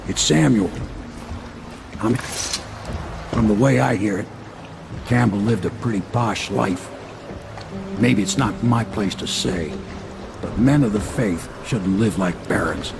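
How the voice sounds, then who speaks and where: A loyal old boatman in a video game. He speaks plainly and humbly in a creaky, gravelly voice, with loud music underneath. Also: fairly low pitch, slow, pauses often. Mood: humble, friendly. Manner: folksy, deferential.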